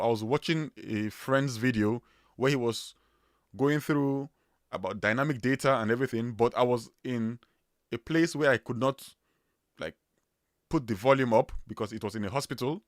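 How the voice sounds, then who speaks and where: A man's voice: medium pitch, normal speed, no echo, studio quality. A man with a strong Nigerian accent hosting a casual livestream, chatty and loose with many fillers and restarts. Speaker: livestream host.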